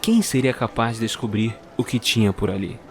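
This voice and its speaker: A man narrating a scripted storybook tale for an audio drama in a measured storyteller's manner. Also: mid-range voice, soft background music.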